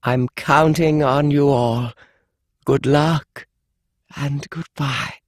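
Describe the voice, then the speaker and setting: A man reading the part of an elderly grandmother addressing her family in a will video, solemn and measured. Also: mid-range voice, steady tone, no echo, voice pushed up. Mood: tender. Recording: dry studio audiobook.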